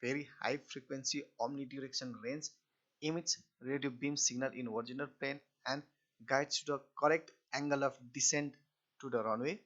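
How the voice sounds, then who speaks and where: A male lecturer speaks at a middling pitch on a dull, lo-fi recording. He explains technical material step by step, often restarting and repeating his phrases in a halting way. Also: steady tone, a bit bright.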